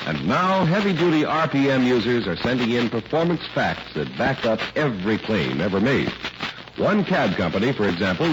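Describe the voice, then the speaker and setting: A man's voice: medium pitch, dull audio, background noise. A man announcing for a 1940s radio show and its sponsor, with polished, emphatic salesmanship. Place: radio studio, music behind.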